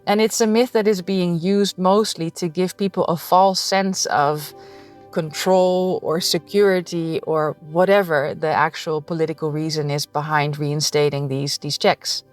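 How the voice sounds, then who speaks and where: Firm low-voiced woman, faint music. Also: steady tone, unhurried. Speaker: interviewee.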